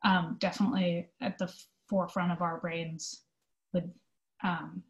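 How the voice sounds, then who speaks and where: A woman's voice: fairly low pitch, flat delivery, measured pace, muffled recording. Reflective young woman in conversation. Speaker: theater company manager, interview guest. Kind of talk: conversational interview answers.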